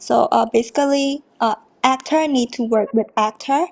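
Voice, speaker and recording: A woman interprets someone's answer in a fairly high voice. She moves in short, halting pieces, pausing to find the right word. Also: steady tone. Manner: pausing, searching for words.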